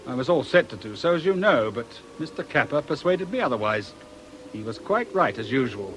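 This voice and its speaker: An actor playing a shrewd British police inspector in a radio drama, with a dry, colloquial, knowing manner. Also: male voice, very animated, fairly quick, close to an everyday voice. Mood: dry, explanatory. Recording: noisy outdoor ambience, vehicle rumble.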